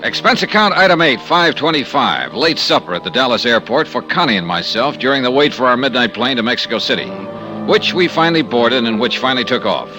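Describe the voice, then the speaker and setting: A man acts a hard-boiled American insurance investigator of vintage radio. He is wry, clipped and sure of himself. His medium-pitched voice moves with plenty of swing. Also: big pitch swings, fairly quick, over music, lightly acted, low-quality recording. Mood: wry narrating. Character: wry, brisk, self-assured American freelance insurance investigator. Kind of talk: 1950s radio detective acting and narration.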